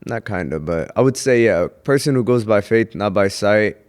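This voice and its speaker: A young man from Houston, Texas, a comedian guest on a podcast, talking in an unhurried, reflective way with pauses to think. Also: fairly low pitch, animated. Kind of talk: casual podcast interview.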